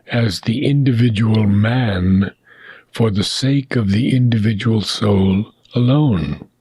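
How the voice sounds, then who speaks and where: Male narrator for philosophical audiobook: husky, unhurried, solemn and deliberate, with frequent pauses. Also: mid-range voice, dark tone, dry recording. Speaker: reader of a spiritual philosophy book. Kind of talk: scripted audiobook reading.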